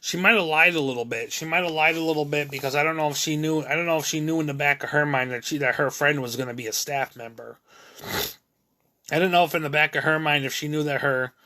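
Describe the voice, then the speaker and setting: Man, mid-thirties, video creator: a bright, forward voice, candid and self-critical, and stumbling through many restarts. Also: fairly high pitch. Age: 30s. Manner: halting, many restarts and fillers. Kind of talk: rambling personal monologue.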